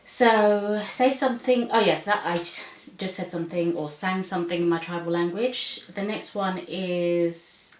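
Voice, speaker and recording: A woman's voice: fairly low pitch, unhurried, hardly any pauses. A woman from Zambia chatting casually on her vlog, reading out questions with lots of ums and sos. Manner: chatty, many "um"s and "so"s. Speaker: vlogger. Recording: echoey room, far from mic.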